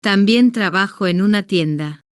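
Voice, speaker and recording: Woman narrator for a learner story, slow and deliberate, dry close sound. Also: medium pitch, clear, resonant tone, no room sound. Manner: slow, deliberate, evenly paced short sentences. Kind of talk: slow scripted first-person story narration for beginners.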